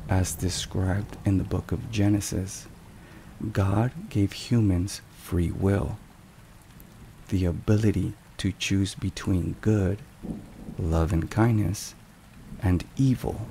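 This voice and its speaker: Slow, low-voiced man in hushed ASMR narration. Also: creaky. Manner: measured, deliberate, hushed. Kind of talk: whispered ASMR, scripted devotional narration. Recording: steady rain and thunder noise. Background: rain and thunderstorm sounds.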